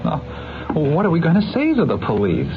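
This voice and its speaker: A man's voice: a little high, wildly swooping pitch, moderate pace. Flustered, protesting man, tinny radio. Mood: anxious. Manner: protesting, stammering, hesitant. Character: flustered, indignant embezzling male employee, weak-willed. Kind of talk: 1970s radio mystery acting. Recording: narrow-band vintage radio, music behind.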